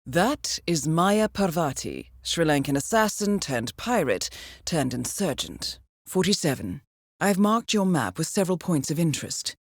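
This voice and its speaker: An actress playing a cool, composed intelligence handler in a video game, briefing an assassin in a measured, dryly ironic way. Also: female voice, on the low side, no echo, clean studio sound. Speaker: mission handler.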